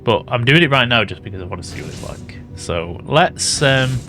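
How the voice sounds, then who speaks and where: A British man with a slightly raspy voice, thinking aloud and correcting himself as he casually commentates a building game. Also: medium pitch, animated, normal speed, pushed and bright, faint music behind. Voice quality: slightly raspy from early morning. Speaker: gaming YouTuber. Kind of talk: casual let's-play commentary.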